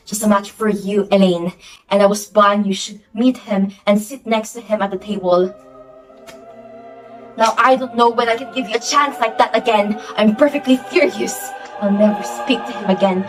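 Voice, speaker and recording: A woman's voice: mid-range voice, moderate pace, natural voice. A young woman playing an imperious, easily outraged society hostess and domineering mother in an amateur stage play, with a haughty, melodramatic delivery. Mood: indignant. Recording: distant mic under music.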